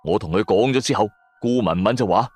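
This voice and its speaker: A man's voice: fairly low pitch, brisk, a little mellow. A man narrating a first-person horror story for radio in a suspenseful, colloquial manner. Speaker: audio drama narrator. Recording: music under the voice. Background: background music.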